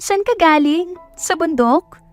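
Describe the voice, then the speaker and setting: Woman performing a young female housemate, high voice, bubbly, exclaiming, friendly bossy, romance audio drama. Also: lively intonation, takes it slowly, dry recording, faint music behind, heavily acted. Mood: astonished. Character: bubbly, kind, goofy young housemate woman, easily astonished.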